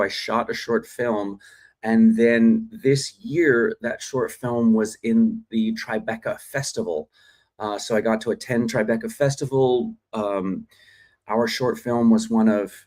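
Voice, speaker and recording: A middle-aged man from Australia hosting a live acting stream, chatting casually and reading out viewer comments with many ums and uhs. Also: fairly low pitch. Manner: chatty, reads viewer comments, frequent fillers. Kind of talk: casual livestream chat. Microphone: phone or desk mic, livestream.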